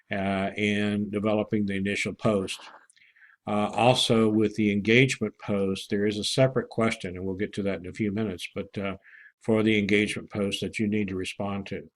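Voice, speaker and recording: A man giving an informal, halting walkthrough as an online course instructor, pausing often as he explains things to students. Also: on the low side. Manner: halting, with many pauses and throat clearing.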